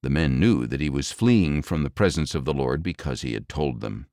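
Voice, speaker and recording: Deep-voiced man narrating scripture expressively. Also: animated, normal speed, darkish tone, no room sound, studio quality. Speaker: audiobook narrator.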